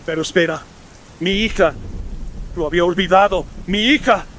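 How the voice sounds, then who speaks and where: A man playing a desperate colonial gentleman father in a horror radio drama, theatrical and anguished. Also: high-pitched, moderate pace, a little hiss or hum, voice pushed up. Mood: anguished, despairing.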